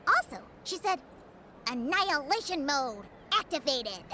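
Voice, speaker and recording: A woman voicing a small, excitable, girlish fairy companion in an anime video game, exclaiming and calling herself by name. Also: high voice, unhurried, a little hiss or hum, exaggerated voice. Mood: worried, puzzled. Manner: exclamatory, refers to herself in third person. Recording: game music behind.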